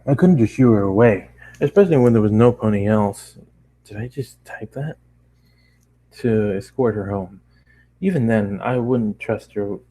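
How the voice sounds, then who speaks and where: A man with a fairly low, dark and relaxed voice reads a fan fiction story aloud. His delivery is informal, with stumbles and self-corrections as he goes. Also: normal speed, slight background noise, poor audio. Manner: informal, stumbling over the text and correcting himself. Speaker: amateur narrator.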